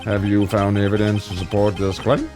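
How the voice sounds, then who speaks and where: Elderly game judge, low-pitched, ponderous and halting, acted out by a man. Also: level tone, over music, natural voice. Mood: solemn. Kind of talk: comic amateur video game dramatic reading.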